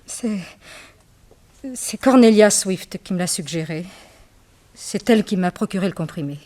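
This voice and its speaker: Actress as an earnest, pleading young understudy. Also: female voice, medium pitch, lively intonation, unhurried, warm tone, lightly acted. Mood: pleading, confessing. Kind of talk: theatrical radio drama acting.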